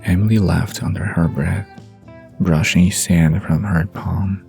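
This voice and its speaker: A man narrates a bedtime story in a very deep, mellow voice. He reads slowly and evenly, with soft guitar music behind him. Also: fairly even intonation, creaky.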